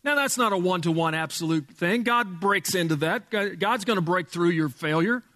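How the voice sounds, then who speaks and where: A male pastor with a loud voice preaching a sermon in a church, emphatic, dropping from booming to hushed. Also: moderate pace, big-room sound. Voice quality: loud speaking voice. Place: church sanctuary with sound board.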